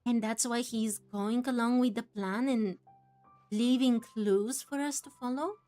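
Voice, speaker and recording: A woman's voice: fairly high pitch, steady tone, a little slow, mellow. A woman giving casual game playthrough commentary, wondering aloud and questioning what happens. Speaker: game streamer. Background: game music.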